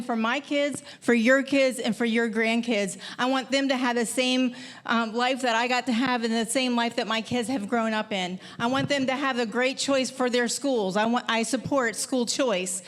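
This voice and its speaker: An older woman introduces herself as a political candidate in a campaign speech. Her voice sits a little high, and she talks in a plain, earnest way. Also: a bit bright.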